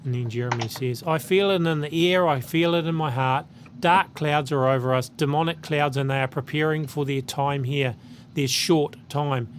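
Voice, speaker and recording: A man's voice: on the high side, steady tone, normal speed, slight background noise. Earnest New Zealand man reading aloud. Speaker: channel host reading a message aloud.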